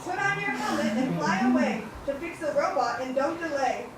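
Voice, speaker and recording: A woman's voice: sits high, animated, moderate pace, crowd noise. A young woman playing an eager, clever, adventurous girl in a children's puppet show. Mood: determined. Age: teenage or young adult student. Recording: echoey hall, loud noise, from far off.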